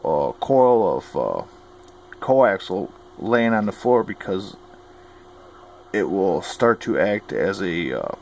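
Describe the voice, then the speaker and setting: A man with a low voice talks through practical tips in a folksy, opinionated way. He keeps it informal and plain-spoken, like a hobbyist teaching what he knows. There is clear background noise on the recording. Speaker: hobbyist giving CB radio advice. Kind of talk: informal instructional talk.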